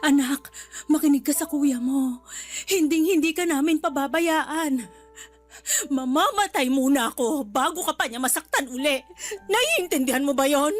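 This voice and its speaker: A woman's voice: sits high, animated, moderate pace. A woman playing a remorseful, middle-aged mother in a radio drama, emotional and pleading through tears. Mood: protective. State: crying. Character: remorseful, tearful mother pleading with her sons. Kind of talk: Tagalog radio drama acting. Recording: music under the voice. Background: background music.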